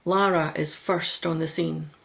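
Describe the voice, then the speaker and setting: A woman's voice: deep voice, steady tone, unhurried, vocal fry. A woman narrating a radio drama from a script, in the role of storyteller.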